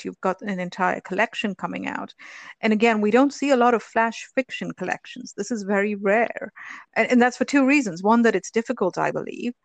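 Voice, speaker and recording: Brief: woman host, mid-pitched, effusive and chatty, close dry recording. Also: no echo. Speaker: interviewer, host. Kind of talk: interview conversation.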